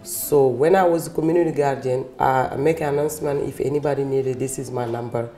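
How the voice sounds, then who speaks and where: A woman's voice: a bass voice, fairly even intonation, fairly quick, dark tone, echoey room, soft background music. A woman, a community guardian, interviewed, recounting how she helped a family. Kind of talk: interview testimony.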